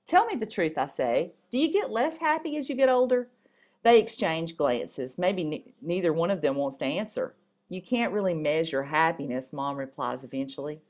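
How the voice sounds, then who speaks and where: A woman with a fairly low voice reads aloud as if from a storybook. Her delivery is expressive, with a small stumble now and then. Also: normal speed. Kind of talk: reading a story and poem aloud.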